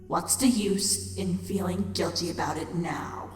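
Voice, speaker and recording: A woman's voice: lowish voice, a little slow, natural voice. A woman voicing a dying, bitter changeling queen, a tired and scornful villain in an audio drama. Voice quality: tired, weary. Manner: deadpan, scornful. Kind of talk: dramatic fan fiction audio drama acting. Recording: long echoing chamber reverb, music.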